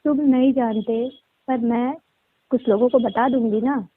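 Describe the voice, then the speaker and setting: High-voiced young woman, tinny phone audio. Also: steady tone, measured pace, dry recording. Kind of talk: casual phone conversation. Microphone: phone line. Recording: tinny, muffled phone line.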